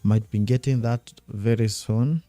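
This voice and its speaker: Man calling live gold trades, very dark voice. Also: mid-range voice, fairly even intonation, a little slow, dry recording. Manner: repetitive, thinking aloud while watching charts. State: losing his voice. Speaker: day-trading streamer. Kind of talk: live trading commentary.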